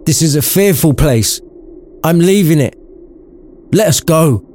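A man's voice: a little high, lively intonation, unhurried, darkish tone, a little hiss or hum, voice pushed up. A man voicing a frightened old male miser who pleads in agony, as a storybook character. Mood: frightened, urgent. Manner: agonized, pleading.

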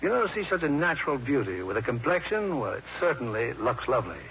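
A man's voice: mid-range voice, big pitch swings, fast, fairly bright tone, slight background noise. A man hosting a 1950s radio drama, delivering scripted narration in a polished, formal manner. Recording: muffled old 1950s radio recording.

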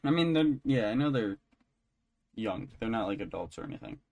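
A man's voice: fairly low pitch, expressive, fairly quick, gravelly, darkish tone. A man co-hosting a podcast, chatting casually and irreverently about entertainment news, swearing freely. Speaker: podcast co-host.

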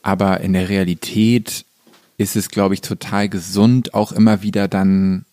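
A man's voice: low-pitched, little pitch movement, dark tone, no room sound, studio quality. A man talking casually as a musician guest in an interview, thinking aloud and often stopping to search for words. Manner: reflective, halting, searching for words. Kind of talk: casual conversational interview talk.

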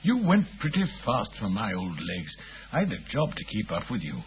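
An actor playing a cranky, lame old bookseller with a British accent and a thin wheezy voice, in a 1950s radio drama. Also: male voice, fairly low pitch, wildly swooping pitch, normal speed, slight background noise. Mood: weary, apologetic. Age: older. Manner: grumbling, deferential old-fashioned speech. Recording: muffled, tinny 1950s radio recording.

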